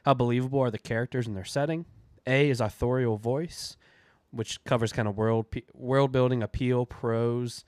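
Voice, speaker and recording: A man's voice: mid-range voice, fairly even intonation, moderate pace, a little mellow, smooth, no echo. Stuffy nosed guy with a cold doing a chill book review, nasal, dry mic. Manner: chatty, loose, with fillers and restarts. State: congested from a cold. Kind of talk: casual video book review.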